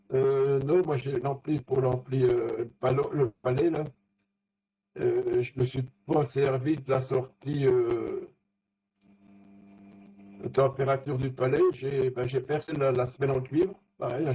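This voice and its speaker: A man in a casual technical chat as an amateur radio operator, speaking haltingly and often searching for words. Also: mid-range voice, mellow, breathy edge. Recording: dull, lo-fi radio contact audio.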